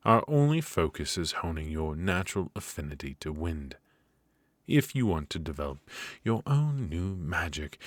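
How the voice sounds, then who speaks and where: A cold, exacting royal professor of magic, voiced by a man, lecturing his pupil in a measured, stern manner. The voice sits very low and deep, close to the mic in a dry, dead room with no echo. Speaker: voice actor in ASMR roleplay. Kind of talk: ASMR roleplay.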